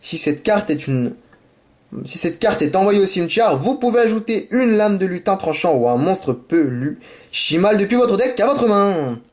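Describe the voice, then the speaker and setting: A man's voice: high-pitched, moderate pace. Chatty man opening card packs, a bit sick. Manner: chatty, apologetic, excited over cards. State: sick with a sore throat. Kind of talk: casual unboxing commentary. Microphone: camera mic.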